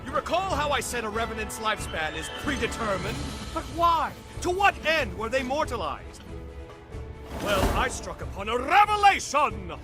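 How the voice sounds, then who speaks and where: High-pitched, pompous, theatrical scientist. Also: male voice, very animated, moderate pace, over music. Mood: excited lecturing. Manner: pompous, florid, condescending, theatrically polite. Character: eccentric, self-important revenant scientist, a theatrical lecturer. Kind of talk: video game cutscene acting.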